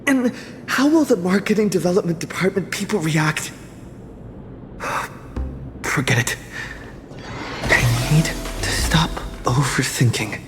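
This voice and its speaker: An earnest young hero talks slowly and a little hesitantly in a fairly high male voice. It is video game acting, with music behind him. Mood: anxious musing.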